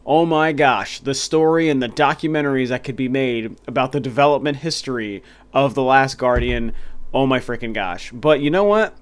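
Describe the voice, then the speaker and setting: A young man talks excitedly about upcoming games, circling back and restarting his sentences as he goes. His voice is clear, though stuffy and a bit nasal from a cold. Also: medium pitch, normal speed. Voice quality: slightly nasal. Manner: enthusiastic, rambling with restarts. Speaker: video game review host. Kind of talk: casual solo talk to camera.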